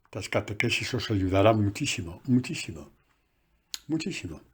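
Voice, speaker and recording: A man, a priest, giving a conversational catechesis sermon recorded on a phone, animated and full of asides. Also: fairly low pitch, normal speed, slightly rough.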